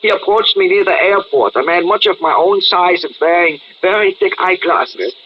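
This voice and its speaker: An actor playing a frightened middle-aged German man in a radio drama, with a pleading, halting, formal manner. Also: sits high, expressive. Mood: anxious pleading. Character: frightened middle-aged German man protesting his innocence, later a curious chemist. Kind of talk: old-time radio drama acting. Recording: dull, noisy lo-fi radio-style recording.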